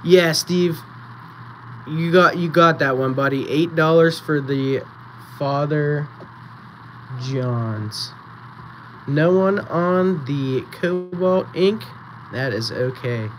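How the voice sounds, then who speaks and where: A man hosting a live-streamed auction, chatting casually and counting down bids, with a voice going raspy. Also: a little high, slow pace, pauses often, slight background noise, rough recording. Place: small indoor photo booth setup.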